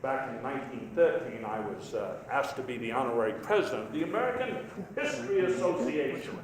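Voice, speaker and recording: A man playing a genial, self-deprecating old statesman who tells stories in a halting, folksy after-dinner speech. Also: medium pitch, animated, a crowd in the background, lightly acted. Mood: wry, amused. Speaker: historical reenactor giving a speech. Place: large indoor hall before an audience. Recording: echoey hall, noisy live recording.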